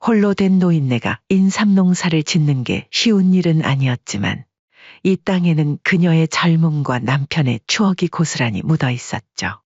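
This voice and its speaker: A man narrating a family story in calm, measured audiobook style. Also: fairly high pitch, husky, dry recording, dull audio.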